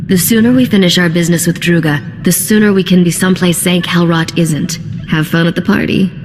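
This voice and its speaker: Woman acting confident game smuggler, lowish female voice, practical, wry, echoing hall. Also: fairly even intonation, fairly quick, music in the background, close to an everyday voice. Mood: reassuring, cautioning. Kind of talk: scripted game cutscene dialogue.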